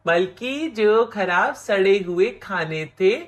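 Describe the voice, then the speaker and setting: A woman voicing a lazy, thieving male crow husband in an animated moral story, sheepish and protesting with drawn-out words. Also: medium pitch, close to an everyday voice. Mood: cheeky protest.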